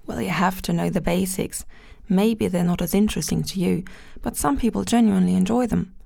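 A woman's voice: medium pitch, brisk, gravelly, warm tone, no room sound, clean studio sound. A nineteen-year-old woman, a student guest on a scripted panel, talking in a prim, earnest, disapproving way. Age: teens.

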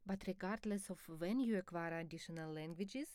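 A woman speaking as an expert co-presenter in a scripted educational dialogue, measured, formal and explanatory. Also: fairly low pitch, darkish tone, no echo, studio quality.

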